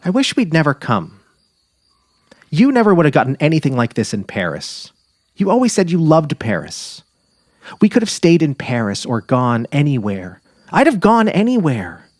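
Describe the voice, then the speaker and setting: Man acting as a nervous pleading wife, raised pitch, very lively intonation, insistent, audio drama, dry sound. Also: no echo. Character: anxious, pleading wife of a dying writer. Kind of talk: dramatic reading of literary fiction.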